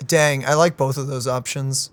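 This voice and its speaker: A woman hosting a gaming channel, reacting to a game with excited, playful comments. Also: very deep voice, normal speed.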